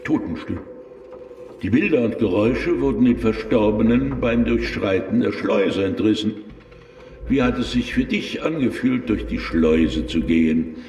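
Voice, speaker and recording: Guardian of hell, cavernous reverb, lowish pitch, bleak official manner, radio play. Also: male voice, lively intonation, a little slow, darkish tone, highs cut off. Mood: bleak, solemn. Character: former guardian of hell, bleak dry bureaucrat of the underworld. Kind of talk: German radio play acting. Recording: echoey hall with long reverb.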